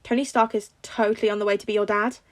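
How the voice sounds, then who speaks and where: A woman's voice: medium pitch, little pitch movement, fast, natural voice. A woman voicing a frank, supportive teenage boy with a casual, insistent manner in a story reading. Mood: teasing.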